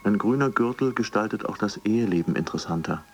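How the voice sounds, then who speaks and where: A man's voice: on the low side, lively intonation, fast, faint music behind. An actor playing a male motivational fitness coach who delivers polished instructional slogans in a radio drama. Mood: assured, instructive.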